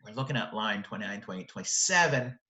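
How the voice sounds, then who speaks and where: A man's voice: lowish voice, lively intonation, fast, noisy background. A middle-aged man giving an explanatory literature lecture in an emphatic way, often posing rhetorical questions. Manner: emphatic, uses rhetorical questions and check-in tags. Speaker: literature teacher. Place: indoor room, close to a webcam. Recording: roomy, echoing sound.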